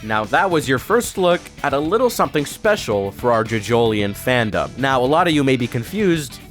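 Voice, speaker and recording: A man's voice: medium pitch, big pitch swings, moderate pace, fairly bright tone. A man hosting a presentation-style announcement in an informal, rambling, conversational way. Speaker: host, fandub creator. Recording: music playing behind the voice. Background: background music.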